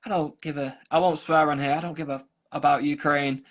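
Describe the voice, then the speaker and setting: A man's voice: fairly high pitch, fairly even intonation, brisk, a bit bright. A man from South Manchester with a Manchester accent, chatting as a volunteer guest on a video call, rambling with restarts and asides. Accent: Manchester English. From South Manchester, England. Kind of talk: casual interview chat. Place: Ukraine.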